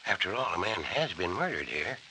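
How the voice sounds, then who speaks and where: A man's voice: low voice, big pitch swings, fairly quick. An actor playing a cautious, worried male doctor in an old-time radio drama. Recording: noisy vintage radio recording.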